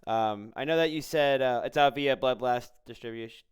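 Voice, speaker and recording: A man with a strikingly clear, smooth voice of average pitch. He interviews in a casual, conversational style. His manner is informal and supportive as he guides the chat. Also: moderate pace. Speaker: podcast host, interviewer.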